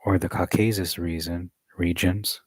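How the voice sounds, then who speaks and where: A man reading a study text aloud in a measured way, adding occasional joking asides. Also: low voice, steady tone, breathy edge, no echo.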